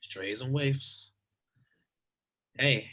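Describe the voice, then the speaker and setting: A man acting a laconic young guy with a mid-range voice. He is modest and affectionate, speaking plainly and softly understated, as in a script read-through. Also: medium pitch, drawn-out words, full character voice. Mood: hesitant, loving.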